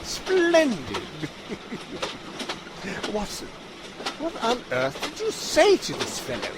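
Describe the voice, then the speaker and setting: A man's voice: very high-pitched, huge pitch swings, slow, heavy background noise, full character voice. A man voicing an eccentric, showy detective in a comedic audio drama, speaking in a plummy, theatrical manner. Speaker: voice actor in a fan audio drama.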